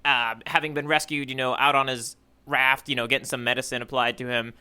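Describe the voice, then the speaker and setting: A man co-hosting a podcast, casually recapping a story in a halting way with frequent "you know" asides. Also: mid-range voice, little pitch movement, sharp tone, clear, resonant tone. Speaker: podcast co-host recapping a manga chapter.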